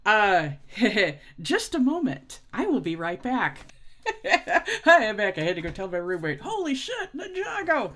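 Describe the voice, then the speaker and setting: A woman's voice: mid-range voice, wildly swooping pitch, measured pace, a bit bright. A woman giving casual, unscripted reaction commentary as a video host, blunt and wandering into asides.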